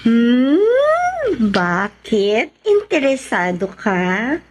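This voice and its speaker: A woman's voice: fairly high pitch, sing-song, extremely slow, slight background noise, exaggerated voice. A woman acting a playful, flirty woman teasing her friend in a Filipino radio drama.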